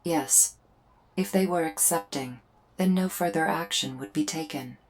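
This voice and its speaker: A woman voicing a solemn female alien invader in a scripted ASMR roleplay, speaking in measured, formal, deliberate phrases with pauses. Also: deep voice, slight background noise. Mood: grave, measured. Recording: music behind the voice. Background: music.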